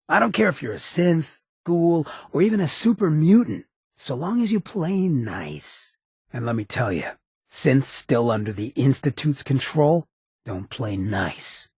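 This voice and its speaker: An actor playing a swaggering, roguish and menacing ghoul mayor in a video game, with a raspy, streetwise, slangy drawl. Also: male voice, on the low side, dry recording, studio quality, full character voice. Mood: explaining, firm. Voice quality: very raspy, gravelly rasp. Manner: streetwise slangy drawl, cocky and smooth-talking. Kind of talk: video game character dialogue.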